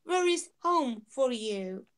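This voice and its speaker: A woman's voice: high voice, expressive, clear, resonant tone. A woman learning English summarizing a talk, choosing her words carefully in a discussion group. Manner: careful, deliberate speech of a non-native learner.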